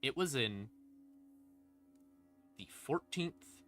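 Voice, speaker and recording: A man's voice: mid-range voice, animated, drawn-out words, fairly bright tone. A man explaining lore on a stream in a casual, unscripted, irreverent way, swearing freely. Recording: background music under voice. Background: ambient music.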